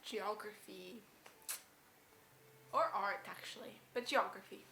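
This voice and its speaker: A woman's voice: medium pitch, takes it slowly, vocal fry, sharp tone, slight background noise. An eighteen-year-old woman chatting casually and with giggles about school and growing up. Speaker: school leaver interviewee. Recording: echoey room with faint music.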